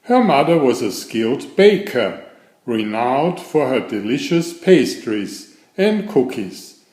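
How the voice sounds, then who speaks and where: A man narrating a children's fairytale aloud in a deliberate, expressive storybook style. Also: medium pitch, echoey room.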